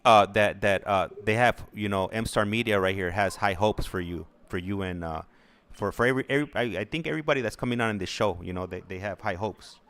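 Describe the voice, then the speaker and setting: A man hosting a casual interview show, speaking in a halting, repetitive, hesitant way. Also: lowish voice, fairly bright tone. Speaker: show host. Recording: noisy background with clicks.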